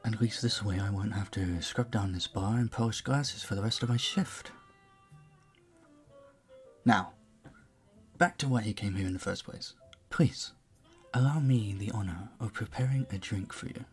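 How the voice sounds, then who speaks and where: A charming male vampire bartender, acted by a man, with a fairly low voice that has a gravelly edge. He is suave and playfully flirtatious, talking closely to a single customer in an audio roleplay over faint music. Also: moderate pace, pauses often, close to an everyday voice. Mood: friendly, curious. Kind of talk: intimate scripted roleplay, speaking to the listener.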